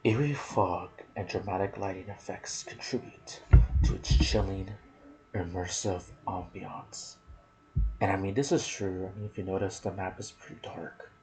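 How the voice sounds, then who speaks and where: A man giving soft-spoken ASMR commentary on a video game, slipping into whispers and pausing between short phrases. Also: sits low, steady tone, low-quality recording. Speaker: gaming channel host.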